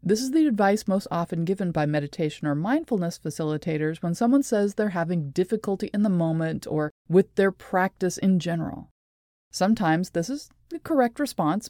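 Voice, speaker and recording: A woman presenting a scripted explanatory talk in a measured, deliberate and articulate way. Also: medium pitch, mellow, no room sound, clean studio sound. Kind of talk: scripted explanatory narration with read quotations.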